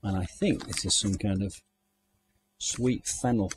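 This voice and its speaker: A man's voice: lowish voice, measured pace, a little hiss or hum. A man from the UK giving a chatty, enthusiastic walking tour of a country garden outdoors, recorded on the camera he carries. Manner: chatty, enthusiastic, thinking aloud. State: hot and overdressed while walking. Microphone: handheld camera mic, walking.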